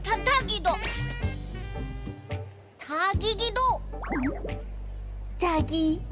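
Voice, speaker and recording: A woman voicing a small, excitable game creature with a squeaky, childlike voice, babbling in repeated nonsense syllables. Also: very slow, music in the background, heavily acted. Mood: excited. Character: small excitable oddity creature babbling only its own name.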